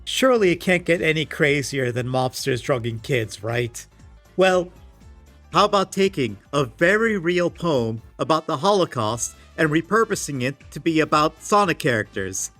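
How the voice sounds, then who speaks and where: Male video essayist, higher-pitched, wry and exasperated, casual scripted comedy commentary with faint music underneath. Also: expressive, normal speed, fairly bright tone. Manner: sarcastic, exasperated; casual, swearing. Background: background music.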